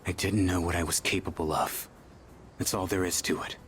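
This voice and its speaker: Deep-voiced, gruff delinquent high school boy. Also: steady tone, normal speed, a bit bright, slight background noise. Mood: gruff, dismissive. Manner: curt, blunt, gruff. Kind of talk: video game voice acting.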